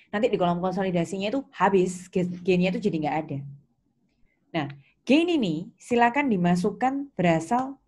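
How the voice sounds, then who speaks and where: A woman's voice: lowish voice, darkish tone. A woman with an Indonesian accent lecturing step by step in a didactic way, posing questions and answering them herself, with English technical terms mixed in. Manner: mixes English technical terms into her speech. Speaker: accounting lecturer. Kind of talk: online lecture explaining step by step.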